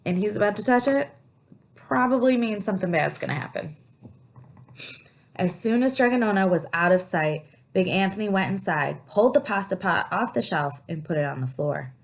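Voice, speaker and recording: A woman's voice: medium pitch, creaky. A woman teacher reading a story aloud to children, explaining and asking questions as she goes. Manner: explanatory, pauses to ask questions. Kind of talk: picture book read-aloud with asides to young listeners.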